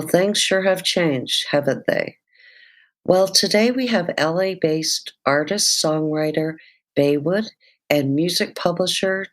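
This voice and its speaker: A woman hosting a podcast, reading scripted introductions and putting questions to guests. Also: low-pitched. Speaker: podcast host.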